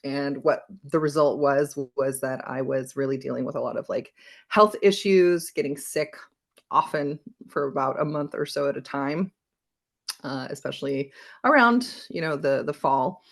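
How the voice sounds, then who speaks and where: Gravelly-toned woman telling her story. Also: a bass voice, moderate pace. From Belgium. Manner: reflective, with frequent ums and restarts. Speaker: wellness coach, interview guest. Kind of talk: conversational podcast interview.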